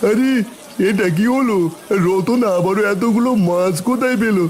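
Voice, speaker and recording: A man voicing a village man in an animated folk tale, with theatrical, exaggerated delivery. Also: high-pitched, normal speed, natural voice. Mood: agitated. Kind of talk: animated Bengali folk-tale cartoon dialogue.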